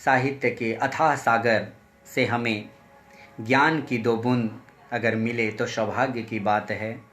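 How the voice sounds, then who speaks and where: A man from Chhattisgarh speaks slowly and formally at an online poetry session. He thanks each listener by name with repeated respectful phrases. His voice sits at a middle pitch. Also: a bit bright. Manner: sings high and drawn out, spacing each phrase slowly. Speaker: poet. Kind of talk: sung Hindi poem, then spoken greetings at an online poetry session.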